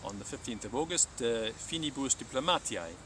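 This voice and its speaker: A man's voice: mid-range voice, lively intonation, fairly quick, far from the mic, background noise. A man speaking as a teacher hosting a live stream, reading aloud and talking informally.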